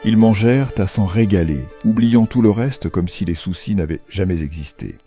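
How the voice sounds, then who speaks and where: A man's voice: lowish voice, moderate pace, mellow. A man narrating a scripted children's fairy tale as storyteller. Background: faint music.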